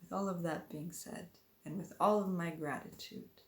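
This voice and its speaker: A woman from Poland giving a heartfelt spoken address, talking slowly and earnestly with gentle repetitions before she offers a prayer. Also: on the low side, steady tone, reverberant. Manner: slow, earnest and gentle, with many repetitions and pauses. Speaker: speaker introducing a prayer.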